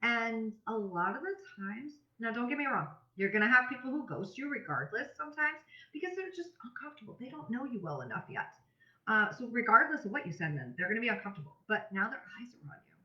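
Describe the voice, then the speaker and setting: A woman's voice: fairly high pitch, sing-song, fast, bright, forceful tone. A woman giving a casual, chatty instructional talk as a business coach, in a conversational manner. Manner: chatty, conversational, acts out example messages. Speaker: business coach presenting a tutorial. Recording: roomy echo, slightly dull audio.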